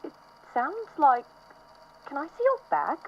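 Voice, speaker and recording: An actor doing a sharp, alert friend. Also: male voice, very high voice, wildly swooping pitch, measured pace, highs cut off, slight background noise, full character voice. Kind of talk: fan audio drama acting.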